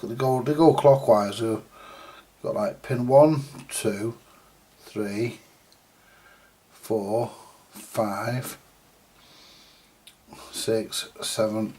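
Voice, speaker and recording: A man giving a casual, halting, mildly sweary thinking-aloud commentary as he does hands-on repair work, often muttering to himself. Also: medium pitch, dark tone. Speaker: repairer presenting a hands-on video. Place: indoor repair workbench.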